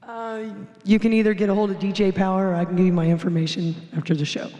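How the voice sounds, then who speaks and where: A woman's voice: medium pitch, little pitch movement, fast talker, mellow, muffled recording. A woman answers a host's questions in a plain, matter-of-fact way. She sits a bit off the mic in a roomy space with a long, echoing reverb. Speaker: world-record brick breaker guest. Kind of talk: casual interview answers. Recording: echoey room, off-mic.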